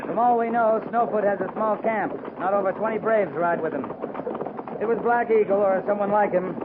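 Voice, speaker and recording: A man's voice: sits high, normal speed, close to an everyday voice. Man acting a laconic cavalry captain on old radio. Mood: dry, matter-of-fact. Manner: laconic, clipped, authoritative. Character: seasoned, dry-witted cavalry captain in command. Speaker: actor in a radio western. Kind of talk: 1950s radio drama acting. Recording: tinny, noisy 1950s radio recording.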